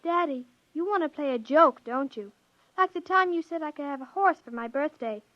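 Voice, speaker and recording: A woman's voice: high voice, expressive, normal speed, no room sound. A woman playing an earnest, sweet but stubborn young daughter in 1950s radio drama, with an innocent, plain-spoken childlike manner. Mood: earnest, reproachful. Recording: dull vintage 1950s radio recording.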